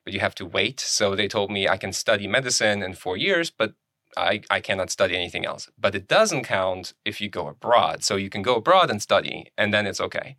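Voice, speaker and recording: Bright, low-pitched man, close and dry. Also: lively intonation, brisk, no echo. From Germany, born in Poland. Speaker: podcast co-host being introduced. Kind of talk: casual conversational interview.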